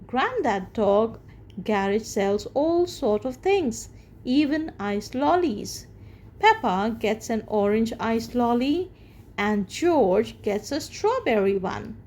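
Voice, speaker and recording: A woman reading a children's storybook aloud as the storyteller, with expressive, deliberate phrasing. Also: on the high side.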